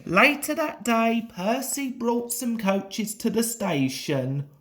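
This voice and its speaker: Slow, animated woman storyteller. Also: fairly high pitch. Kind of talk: scripted children's story narration.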